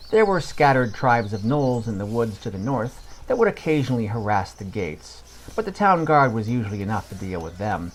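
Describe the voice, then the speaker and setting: A man narrating a scripted fantasy story as a podcast storyteller, in a measured reading manner. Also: fairly low pitch, slight background noise, poor audio.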